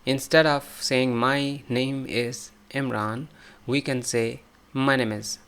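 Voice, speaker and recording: A man with a gravelly, mid-pitched voice coaches a student through everyday English phrases. He models each line slowly, then again at normal speed, patiently and methodically. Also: steady tone, fairly bright tone. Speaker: English pronunciation teacher. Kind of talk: slow drilling of spoken phrases.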